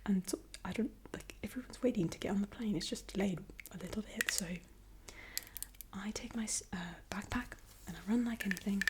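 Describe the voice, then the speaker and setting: A woman tells a long personal story entirely in a soft ASMR whisper. She sits at a middle pitch for her voice and keeps the retelling chatty and animated. Clicks and a clear background hiss sit under her voice. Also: fairly quick.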